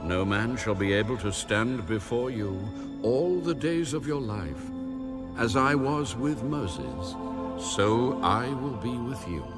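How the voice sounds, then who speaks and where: An actor playing God in a dramatised audio Bible, speaking with solemn, weighty, commanding reassurance. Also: male voice, medium pitch, lively intonation. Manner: slow, measured, weighty delivery. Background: new-age music.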